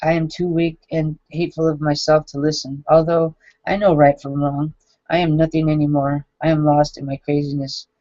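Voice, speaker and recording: A man in his forties reading his own journal entries aloud, halting and self-critical, with frequent restarts. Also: a little high, level tone, dark tone, dry recording. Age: 40s. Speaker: vlogger. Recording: dull lo-fi dry recording.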